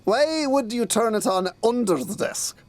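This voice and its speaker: A man's voice: very high-pitched, expressive, unhurried. A man playing a pompous, bewildered middle-aged police detective inspector who is hopeless with technology, in a theatrical comic audio drama style. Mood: stubborn, bemused.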